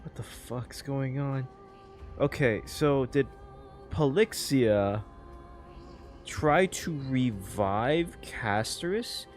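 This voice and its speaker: A man giving casual, expressive live reaction commentary on a game story, swearing as he puzzles aloud. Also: mid-range voice, extremely slow. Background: faint game music.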